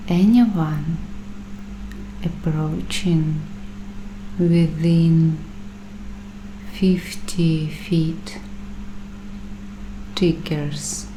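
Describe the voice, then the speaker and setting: A woman acting as a paranoid doomsday prepper in an ASMR roleplay, speaking extremely slowly in a lowish, hushed voice. She is earnest and deliberate, leaving long pauses, with clear noise behind her. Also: poor audio. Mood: ominous warning. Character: paranoid waitress turned doomsday prepper interviewing bunker roommates. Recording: clearly audible background noise.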